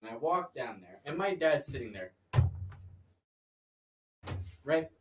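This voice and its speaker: A man's voice: a little high, big pitch swings, fairly quick, distant mic. A young man co-hosting a comedy podcast, telling rambling stories in a theatrical, joking way. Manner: theatrical, jokey. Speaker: co-host of a chaotic comedy podcast. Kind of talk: rambling comedic storytelling, casual chat. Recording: echoey room with loud noise.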